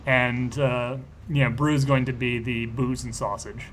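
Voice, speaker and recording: A man casually improvising narration for a tabletop role-playing game, haltingly and with many asides. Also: mid-range voice, little pitch movement, a little hiss or hum. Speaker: tabletop role-play player narrating scenes.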